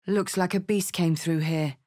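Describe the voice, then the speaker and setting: A woman's voice: lowish voice, fairly even intonation, moderate pace, a little mellow, close to an everyday voice. A woman voicing a bold, blunt, battle-hardened tiefling warrior for a fantasy video game, with dramatic delivery. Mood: wary, matter-of-fact.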